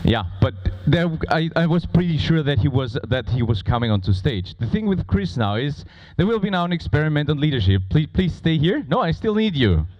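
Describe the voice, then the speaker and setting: Guy hosting a conference, not native english, jokey and loud, voice jumps up and down, bit muffled. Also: fairly high pitch, very animated, fairly quick, hardly any pauses. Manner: playful, bantering with audience; loud, projecting voice. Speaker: conference moderator. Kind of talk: informal live stage presentation. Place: conference stage before a large audience.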